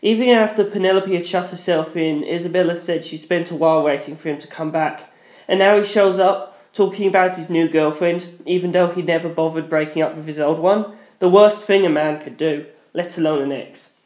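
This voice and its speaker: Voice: mid-range voice, steady tone, a little fast, darkish tone. A narrator reading a dramatic first-person story. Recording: echoey room.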